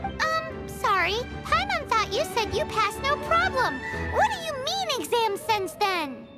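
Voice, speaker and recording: A woman voicing a tiny, perky, cheeky fairy companion in a video game, with a squeaky, childlike voice. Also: sing-song, unhurried, exaggerated voice. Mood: puzzled, teasing. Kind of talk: cartoonish video game voice acting. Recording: loud tinkling game music behind.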